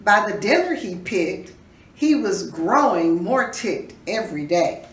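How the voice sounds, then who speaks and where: Slow, echoey woman reading a storybook aloud. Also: mid-range voice. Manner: expressive, teacherly, pausing to ask questions. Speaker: storybook reader for a children's reading program. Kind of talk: dramatic read-aloud with questions to young listeners. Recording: roomy echo, distant mic, faint noise.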